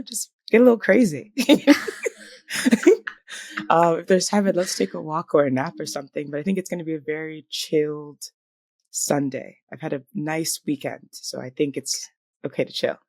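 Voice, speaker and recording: A woman's voice: deep voice, normal speed, darkish tone, no echo. A woman who is a materials researcher and podcast guest, chatting casually, often laughing and trailing into fillers. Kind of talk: casual conversation.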